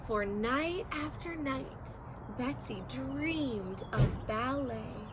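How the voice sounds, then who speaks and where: A woman's voice: sits high, background noise. A woman teacher reading a rhyming children's picture book aloud, in a sing-song, rhythmic storytelling manner.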